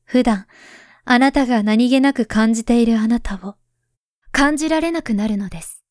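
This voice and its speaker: A solemn woman reading a warning letter aloud in a voice drama, voiced by a woman. Her voice sits fairly high but stays hushed and soft, often slipping toward a whisper. The sound is close and dry. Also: moderate pace, no room sound, close to an everyday voice. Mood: earnest. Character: grown woman writing to her past self, grave and pleading.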